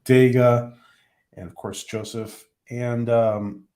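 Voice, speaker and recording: A man hosting a casual livestream who is losing his voice. He talks haltingly, with many ums and restarts. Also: mid-range voice, steady tone, dark tone. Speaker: livestream host.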